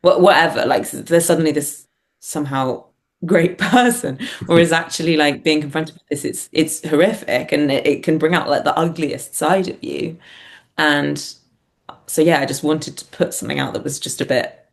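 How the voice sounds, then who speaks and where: Low, creaky-voiced woman thinking aloud. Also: fairly even intonation, moderate pace. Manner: hesitant, thinking aloud, punctuated by small laughs. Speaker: guest, writer-performer. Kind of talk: reflective interview conversation.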